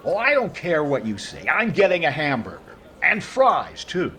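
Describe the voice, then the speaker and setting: An actor playing a vain, stingy middle-aged comedian in old radio comedy style, with deliberate pauses and indignant questions. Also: male voice, a little high, wildly swooping pitch, sharp tone, heavily acted. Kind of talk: 1950s radio comedy acting. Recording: live crowd, noisy audio with clicks.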